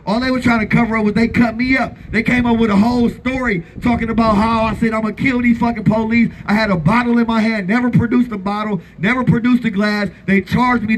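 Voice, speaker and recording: Man, thirties, high voice, impassioned rally speech, blunt, profane, repetitive emphasis. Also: a little hiss or hum, low-quality recording. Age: 30s. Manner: blunt, profane, fiery, repeats phrases for emphasis. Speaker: activist speaker at a rally. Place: outdoor gathering.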